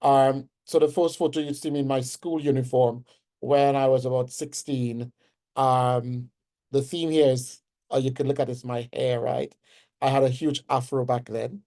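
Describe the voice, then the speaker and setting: A man's voice: mid-range voice, fairly even intonation, normal speed, dark tone. A man in his forties with a Trinidadian accent, giving a personal, conversational webinar lecture as a nursing professor. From Trinidad and Tobago. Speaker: guest speaker, nursing professor.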